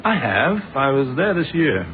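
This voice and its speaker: British police inspector, tinny radio sound. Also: male voice, medium pitch, very animated. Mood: reflective. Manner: measured, courteous, formal questioning. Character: British police inspector, polite, probing, quietly dry storyteller. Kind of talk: radio drama acting. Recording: thin phone-like radio sound, faint music.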